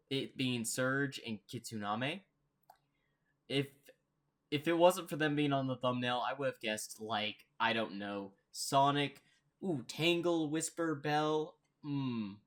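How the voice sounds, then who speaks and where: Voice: medium pitch, fairly bright tone. A reaction host giving casual, rambling commentary and musing aloud.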